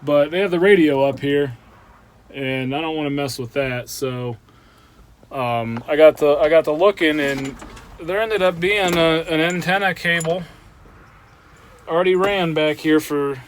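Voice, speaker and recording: A man's voice: a little high, unhurried, slight background noise. A man casually narrating a hands-on repair on his truck, thinking aloud with fillers as he works. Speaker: channel host. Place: truck cab and engine bay.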